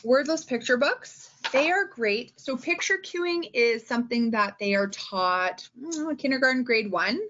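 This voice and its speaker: A woman's voice: on the high side, lively intonation, measured pace, few pauses, a bit bright, dull audio. A woman speaking as a teacher in an informal instructional talk, with an emphatic, theatrical delivery that often checks in with the listener. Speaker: schoolteacher giving reading-aloud tips.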